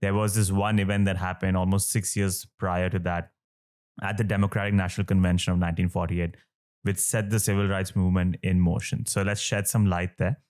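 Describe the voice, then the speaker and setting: A man hosting an interview podcast, putting measured, prepared questions to his guest. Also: low voice, little pitch movement, a little mellow. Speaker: podcast host.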